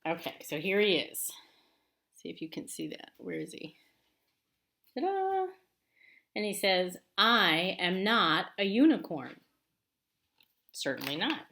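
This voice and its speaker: A woman's voice: fairly low pitch, very animated, slow, pauses often, sharp tone. A woman in her forties, an elementary teacher giving an informal lesson and read-aloud for children, explaining simply and expressively. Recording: roomy echo, faint background noise.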